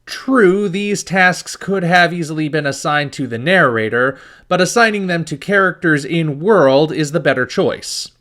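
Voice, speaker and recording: A man's voice: fairly high pitch, lively intonation, a little slow, hardly any pauses, fairly bright tone. A man hosting a video review, reading scripted narration with wry, dry asides.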